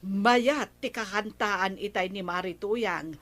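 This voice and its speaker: A woman's voice: mid-range voice, slow, fairly bright tone. A woman acting a gossipy village wife chatting conversationally in an Ilocano radio comedy drama. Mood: curious, gossipy.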